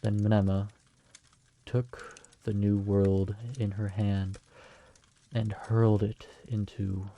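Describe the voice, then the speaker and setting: A man playing a calm, patient storyteller telling a bedtime tale in a fantasy audio drama. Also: on the low side, slow.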